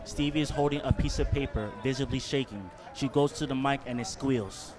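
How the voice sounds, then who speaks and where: A man's voice: medium pitch, a little fast, over a noisy crowd, heavy background noise, natural voice. A man reading stage directions as the narrator of a scripted staged play. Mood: neutral.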